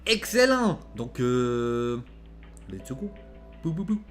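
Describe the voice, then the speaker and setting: A man's voice: mid-range voice, sing-song, slow pace, soft background music. A man giving casual, playful reaction commentary, exclamatory and slangy, with English words mixed in. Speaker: host of a reaction video.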